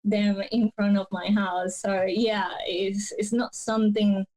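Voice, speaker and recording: A woman from Colombia, a podcast guest, telling her life story slowly and haltingly, with many pauses and fillers. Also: medium pitch, little pitch movement, poor audio. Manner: slow, soft-spoken, halting with many fillers. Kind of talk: personal storytelling interview.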